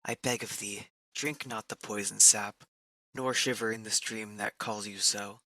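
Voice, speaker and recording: An actor playing a devoted, pleading lover in a Shakespearean-style monologue, speaking earnestly and formally. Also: male voice, on the low side, fairly even intonation, unhurried, pushed and bright, no room sound, heavily acted, low-quality recording. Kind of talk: poetic dramatic monologue.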